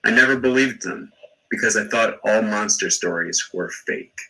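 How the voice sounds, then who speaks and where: A man reading out an emergency radio broadcast within a story, slowly and clearly. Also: on the low side, pushed and bright, poor audio. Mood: somber. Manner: slow and clear.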